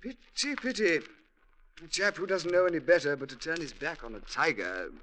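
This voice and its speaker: A stuffy British colonial policeman in a vintage radio drama. His voice is a bit high and bright, with lively swoops in pitch and a British accent. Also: moderate pace, a little hiss or hum. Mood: pitying, condescending. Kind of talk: 1950s radio drama acting.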